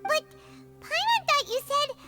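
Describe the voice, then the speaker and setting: A woman playing a tiny, childlike, excitable fairy companion in a video game, with a squeaky, inquisitive delivery. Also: unhurried, full character voice. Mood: surprised. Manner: squeaky, exclaiming, refers to self by name. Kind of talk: video game cartoonish voice acting. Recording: loud game music behind the voice.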